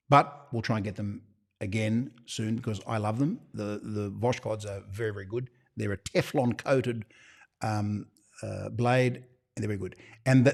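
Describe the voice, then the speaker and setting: A man's voice: mid-range voice, a little mellow. An Australian man who runs a shop, hosting a casual livestream Q&A. He rambles and drops joking, conspiratorial asides. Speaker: shop owner hosting a live Q&A.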